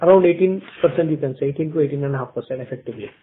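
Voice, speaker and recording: A man with an Indian accent answering questions on an earnings call as a company executive, explaining in a halting way. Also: on the high side. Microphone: phone line. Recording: tinny narrowband phone conference line.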